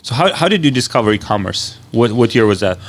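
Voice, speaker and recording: Halting, rambling male interviewer. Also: mid-range voice, animated. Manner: halting, rambling phrasing with repeated filler words. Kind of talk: casual conversational interview.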